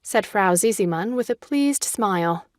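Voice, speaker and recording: Casting: female narrator for classic children's fiction, medium pitch, measured storytelling, intimate dry studio sound. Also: animated, no echo.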